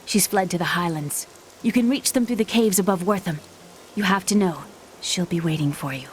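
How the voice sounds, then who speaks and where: A woman's voice: lowish voice, moderate pace, slight background noise, natural voice. An actress playing an earnest, worried young woman with hidden powers.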